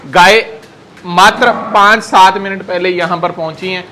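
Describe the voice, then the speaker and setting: Male on-location presenter. High, sharp voice, interviews and talks to viewers. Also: fairly even intonation, normal speed, a little hiss or hum. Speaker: host interviewing a dairy cattle dealer.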